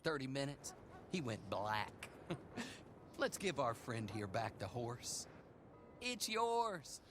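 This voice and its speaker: An actor playing a chatty, gleeful old-west horse dealer in a western video game. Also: male voice, medium pitch, big pitch swings, takes it slowly, fairly bright tone, slight background noise. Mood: jovial, teasing.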